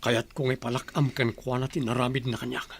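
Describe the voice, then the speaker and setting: A vengeful husband in a radio drama, grim n insistent, medium pitch voice. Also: male voice, flat delivery, fairly quick, breathy edge. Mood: cold, resolute. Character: vengeful, stubborn husband, head of a guarded mansion.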